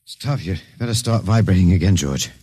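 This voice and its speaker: An actor playing a weary, stubborn human captive with a wry, hesitant manner in a 1950s radio drama. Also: male voice, low voice, lively intonation, brisk, warm tone, husky, no room sound. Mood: wry, strained defiance.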